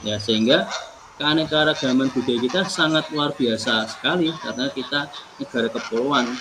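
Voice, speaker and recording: A middle-aged man from Pekalongan presents a webinar lesson at an ordinary, middling pitch. He speaks in a measured way, often stopping on fillers while he looks for words. A steady, clearly audible noise runs under his voice. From Indonesia, Pekalongan. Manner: measured, with frequent hesitations. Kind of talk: lecturing from slides in a webinar.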